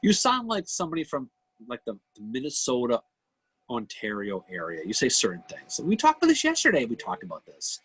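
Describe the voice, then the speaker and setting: A man's voice: medium pitch, huge pitch swings, fairly quick, a bit bright, husky, rough recording. A man with a New England accent bantering casually as a livestream co-host, rambling and repeating himself. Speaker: podcast co-host.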